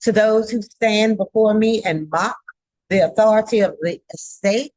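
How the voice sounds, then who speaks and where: A woman delivering a formal scripted public address in a stern, solemn, emphatic manner, with halting phrasing. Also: mid-range voice, darkish tone, no room sound, rough recording. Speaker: judge giving a public address.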